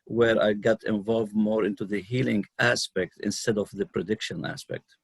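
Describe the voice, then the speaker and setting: A middle-aged man from Lebanon with a Lebanese accent, telling his own story as an interview guest, unscripted and halting, often pausing mid-sentence. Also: lowish voice, fairly even intonation, gravelly, husky, no room sound. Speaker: guest, numerologist and healer.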